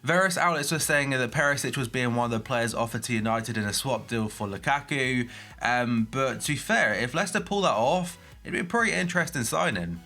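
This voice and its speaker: Young male newsreader, groggy from hay fever, bright forward tone, plain mid pitch, steady delivery with brief asides. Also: fairly quick, faint music behind. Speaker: football transfer news youtuber. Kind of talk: reading transfer news roundup.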